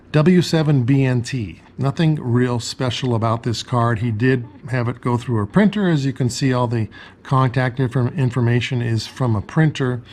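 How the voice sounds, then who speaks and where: A man's voice: medium pitch, darkish tone. A man narrating an explanatory show-and-tell as a ham radio hobbyist.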